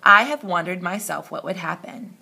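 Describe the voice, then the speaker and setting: A woman's voice: moderate pace, gravelly, bright, forceful tone, breathy edge, exaggerated voice. A woman voicing a stern, commanding male king in a children's storybook. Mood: pondering. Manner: booming, commanding.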